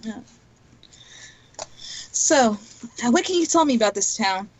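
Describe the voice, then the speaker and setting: A woman's voice: fairly high pitch, animated, a crowd in the background, rough recording. A woman from Texas playing a tabletop game in casual, chatty talk. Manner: chatty, quick to laugh. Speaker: player.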